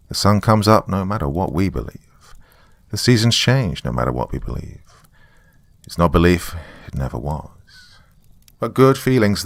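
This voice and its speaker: Man acting a doomed, gravelly, low-voiced survivor. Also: no echo. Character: doomed, weary, bitter survivor recording his final message. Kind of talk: dramatic first-person horror monologue.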